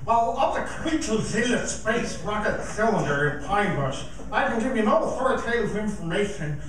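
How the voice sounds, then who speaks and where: A man acting as a formal, halting local scientist explaining things in a live radio drama. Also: high-pitched, big pitch swings, fairly bright tone. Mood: pedantic, grave. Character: male local scientist, pedantic, measured college instructor. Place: live stage performance in a performing arts center. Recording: distant mic, echoey theater, faint noise.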